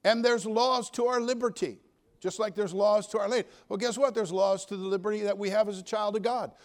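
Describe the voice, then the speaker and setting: This is an older man, in his seventies, with a noticeably high-pitched voice. He preaches like a folksy Baptist pulpit veteran. He pushes hard on key phrases, then drops into quieter, joking asides. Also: steady tone, a little fast. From United States. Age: 70s. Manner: folksy, emphatic, swinging from loud exhortation to quiet joking asides. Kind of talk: sermon.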